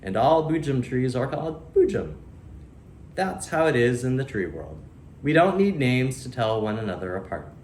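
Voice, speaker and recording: A man voicing a friendly, proud, wry oak tree in a children's storybook, chatty and emphatic with deliberate pauses. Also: mid-range voice, big pitch swings, a little mellow, lightly acted. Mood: wry, amused. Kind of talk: children's storybook read-aloud. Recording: roomy echo, faint background noise.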